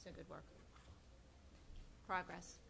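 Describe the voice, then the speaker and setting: Woman commissioner; level, sharp-toned, businesslike meeting voice with faint background hiss. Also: fairly low pitch, level tone, takes it slowly, creaky. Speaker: city commissioner. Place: city commission meeting room.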